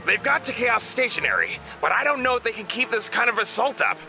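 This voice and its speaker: A man voicing an earnest, dutiful communications aide reporting to his king in an animated comic dub. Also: extremely high pitch, brisk, creaky, exaggerated voice. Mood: urgent. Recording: music behind the voice.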